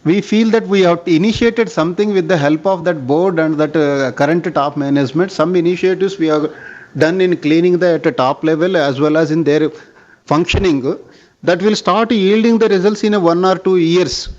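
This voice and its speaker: A man's voice: on the high side, moderate pace, a little mellow. A man with an Indian accent answering analysts' questions formally as a bank executive on an earnings call. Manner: formal, often addressing listener as sir. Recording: dull lo-fi conference call audio.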